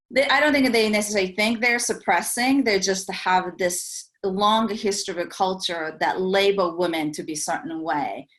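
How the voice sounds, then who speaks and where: A woman from Japan whose first language is Japanese, a startup founder speaking earnestly and haltingly on a remote video call. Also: lowish voice, a bit bright. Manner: earnest, halting, laughs easily. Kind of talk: virtual keynote conversation.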